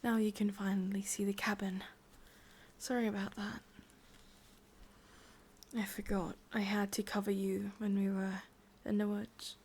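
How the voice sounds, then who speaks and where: A woman with an English accent voicing an ancient, possessive, wistful witch in a slow, intimate, breathy ASMR whisper. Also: mid-range voice, little pitch movement, a little mellow, slight background noise, lightly acted. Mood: gently possessive. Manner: slow, intimate, musing, with lingering pauses. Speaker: ASMR roleplay performer. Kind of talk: whispered ASMR roleplay monologue.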